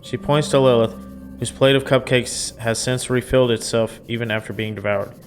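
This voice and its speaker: A woman's voice: rumbling low, fairly even intonation, moderate pace, soft background music. A woman reading visual novel narration aloud and adding casual reactions as she plays.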